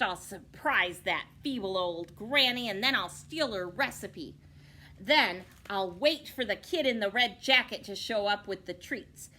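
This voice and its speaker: A woman's voice: fairly high pitch, sharp tone, voice pushed up, heavily acted. Woman putting on a sly cackling wolf. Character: sly scheming male wolf, crooning and cackling.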